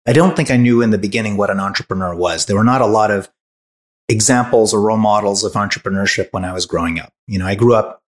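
A man's voice: on the low side, quick pace. A man in his forties from Hungary, a startup founder answering interview questions thoughtfully, with many restarts and fillers.

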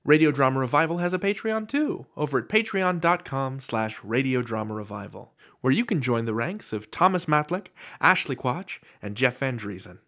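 A man's voice: medium pitch, animated, moderate pace, few pauses, fairly bright tone. A man hosting a podcast from a script, with a playful, theatrical delivery. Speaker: podcast host.

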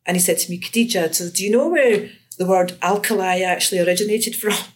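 A woman's voice: medium pitch, fast, a little hiss or hum. A woman in her fifties from Scotland, an education researcher and lecturer, talking through her research in an interview with frequent restarts and fillers. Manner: thoughtful, many restarts and fillers. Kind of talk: conversational interview.

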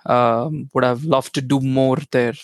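A man's voice: mid-range voice, steady tone, unhurried, dry recording. A man from India whose first language is Tamil, speaking as a guest in a casual interview and often repeating words as he searches for them. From India, Chennai.

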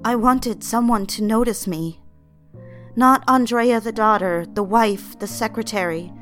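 An actress playing a timid, lovestruck secretary in a period audio drama, speaking softly and hesitantly, as if confiding. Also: female voice, medium pitch, lightly acted. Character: timid, lovestruck female 1960s secretary yearning for attention. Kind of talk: period audio drama acting, confessional first-person narration.

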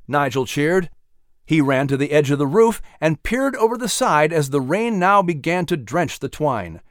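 Higher-voiced male story narrator, dry. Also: very animated, moderate pace, dry recording. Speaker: audiobook narrator. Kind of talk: dramatic scripted story narration.

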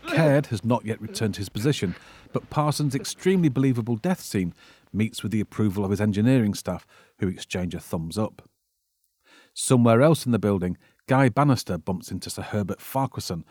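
A man reading a scripted narration that recaps an old mystery film, with a husky voice. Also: fairly low pitch, a little mellow, no echo, clean studio sound. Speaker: narrator presenting a film summary.

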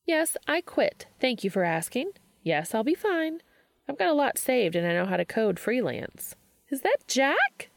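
A woman voicing a bubbly, bossy, affectionate young woman in a story reading, with a bright, emphatic delivery. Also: fairly high pitch, sing-song, a little slow, creaky, dry recording, voice pushed up, heavily acted. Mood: bright, breezy.

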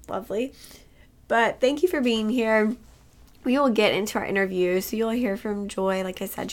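A woman's voice: medium pitch, fairly bright tone. A woman hosting a podcast who has a cold. She talks in an upbeat, chatty way, with fillers like "uh" and "like". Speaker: podcast host. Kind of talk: podcast intro and announcements.

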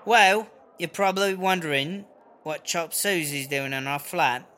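A man's voice: fairly high pitch, a little slow, sharp tone. A man playing a brash, chatty, foul-mouthed prison inmate with a British accent in a comedy audio drama. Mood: sheepish. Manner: rambling, slangy, sweary. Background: music.

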